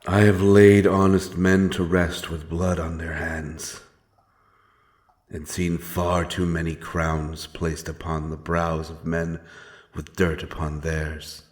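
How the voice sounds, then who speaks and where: A man playing a stern, menacing but honourable monster hunter in a dark fantasy audio drama, speaking in solemn, archaic phrases. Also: sits low, flat delivery, slow. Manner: archaic, solemn, threatening speech. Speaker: audio roleplay performer. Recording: music behind the voice.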